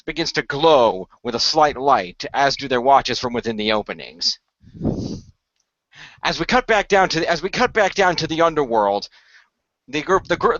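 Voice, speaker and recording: A man improvising narration as game master of a tabletop adventure, halting and repeating phrases as he goes. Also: on the high side, pushed and bright, dry recording, muffled recording.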